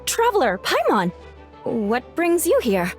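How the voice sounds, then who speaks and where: A woman plays a proud young female knight in a video game. Her voice sits a little high and moves expressively, with a formal, dramatic delivery. She is anxious and fiercely protective. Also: wildly swooping pitch, takes it slowly, soft background music, exaggerated voice. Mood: worried.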